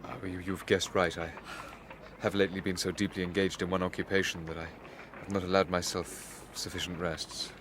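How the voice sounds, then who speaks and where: A man's voice: low-pitched, level tone, close to an everyday voice. Man acting a haunted, intense young scientist, noisy recording. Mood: nervous, evasive. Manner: anguished, intense, halting when nervous. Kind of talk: dramatised audiobook acting, theatrical gothic delivery.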